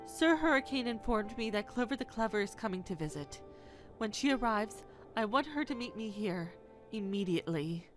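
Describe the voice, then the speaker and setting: A woman playing a gentle, regal young princess in an audio drama, soft-spoken, polite and a little hesitant. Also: mid-range voice, expressive, normal speed, music in the background, lightly acted. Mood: composed.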